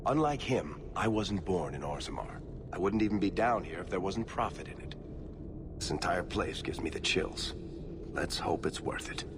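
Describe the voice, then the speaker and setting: Low, wry, animated dwarf adventurer. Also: male voice, normal speed, background noise. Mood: wary. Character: wry, wary, profit-minded male dwarf adventurer. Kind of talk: video game dialogue.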